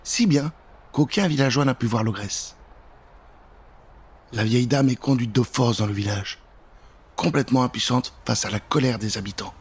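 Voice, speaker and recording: Husky male storyteller, theatrical and suspenseful. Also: mid-range voice, moderate pace, pauses often. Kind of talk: dramatic children's Halloween story narration. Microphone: studio microphone with pop filter.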